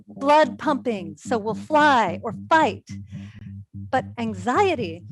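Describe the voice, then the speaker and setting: An actress in a staged play reading, playing an earnest, anxious woman giving a presentation in a deliberate, emphatic manner. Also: high-pitched, full character voice, low-quality recording.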